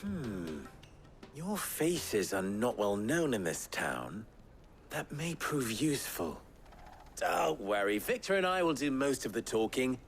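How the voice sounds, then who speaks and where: Fantasy game town leader, shrewd, wry, measured, theatrical delivery, animated intonation, music behind. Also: male voice, medium pitch. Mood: calculating. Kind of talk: dramatic video game cutscene dialogue.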